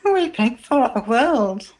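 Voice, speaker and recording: A woman playing a grieving, devoted biblical woman, tender and anguished, in a halting, emotional dramatic monologue for church. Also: a little high, big pitch swings, darkish tone. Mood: weeping sorrow.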